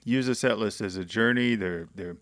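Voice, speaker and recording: A man's voice: lowish voice, fairly quick, fairly bright tone. A man interviewing a guest in a casual, conversational style.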